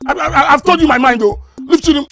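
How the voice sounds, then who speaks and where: An actor playing an aggrieved young adult son with a Nigerian accent, scolding insistently in a domestic comedy-drama. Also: male voice, on the high side, big pitch swings, brisk, darkish tone, faint music behind. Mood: aggrieved, warning. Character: aggrieved, confrontational young adult son challenging his father.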